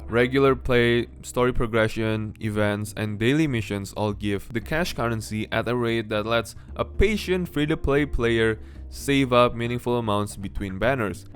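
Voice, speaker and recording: A man's voice: mid-range voice, normal speed. Male game reviewer over music. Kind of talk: scripted review narration with casual asides. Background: background music.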